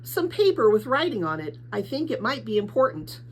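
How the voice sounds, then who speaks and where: A woman's voice: a little high, sing-song, a little fast, slight background noise. A woman voicing a curious, polite young girl in a storybook, eager and questioning, a little hesitant.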